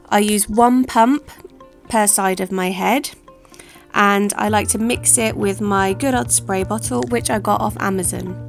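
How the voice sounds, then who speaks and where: A woman, chatting casually through a hair-care vlog, a little croaky, rambling with lots of "sort of". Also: medium pitch. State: slightly croaky voice. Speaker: hair vlogger.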